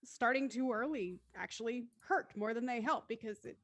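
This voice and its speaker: A woman's voice: on the high side, normal speed, fairly bright tone. A woman talking casually and reflectively in a group discussion.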